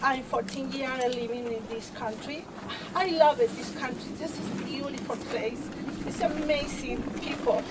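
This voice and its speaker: Chilean woman, emotional new citizen, broken English, high pitch, distant recording with heavy background noise. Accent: Chilean Spanish. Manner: halting, broken English, effusive. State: tearful. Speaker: new citizen giving a speech. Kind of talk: short emotional public speech.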